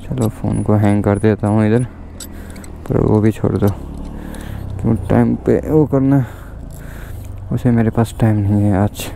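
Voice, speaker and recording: A man's voice: on the low side, fairly even intonation, unhurried, creaky, pauses often, mellow. A young man chatting casually to viewers as he rides his motorbike at night, recorded on a helmet camera. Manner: chatty, talks to viewers while riding. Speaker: motorcycle vlogger. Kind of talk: casual vlog narration while riding. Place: outdoors on a motorbike on a road at night. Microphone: helmet-mounted action camera. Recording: road and engine noise outdoors.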